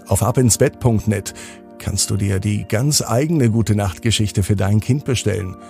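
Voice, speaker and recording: A man narrating a bedtime story for children as a podcast host, phrasing deliberately with dramatic pauses. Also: on the low side, creaky, darkish tone, soft background music.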